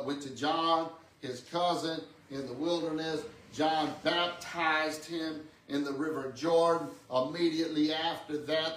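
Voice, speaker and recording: A man's voice: fairly high pitch, a little hiss or hum. A man in his sixties preaching a conversational teaching sermon, often repeating words and checking in with amen and okay. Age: 60s. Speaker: pastor preaching. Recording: echoey room, distant microphone.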